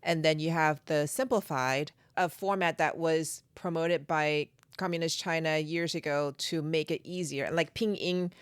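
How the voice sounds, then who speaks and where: A woman from Taiwan hosting an interview, explaining things in a measured way before asking her guest a question. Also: low-pitched, steady tone, clean tone, no echo. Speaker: podcast host.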